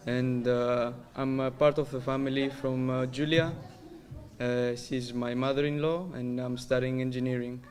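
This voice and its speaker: A young man from Greece with a Greek accent introducing himself, pausing often with fillers. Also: mid-range voice, level tone. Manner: hesitant, with filler pauses. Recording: crowded room with background noise.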